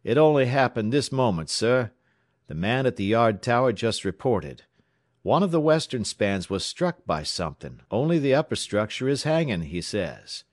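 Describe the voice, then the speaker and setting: A man's voice: medium pitch, very animated, normal speed, no echo, clean studio sound, voice pushed up, lightly acted. Man as a grave, strained railway dispatcher. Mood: grim, anxious. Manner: strained, deferential.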